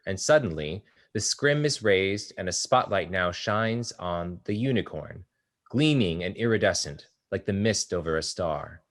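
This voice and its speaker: A man reading the stage directions aloud in a steady, descriptive way at a table read of a stage play. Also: sits low.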